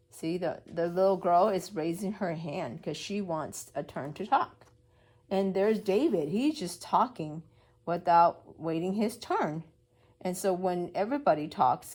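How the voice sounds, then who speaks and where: A woman's voice: on the low side, lively intonation, slow. A woman preschool teacher reading a story aloud to young children, explaining as she goes and asking simple questions.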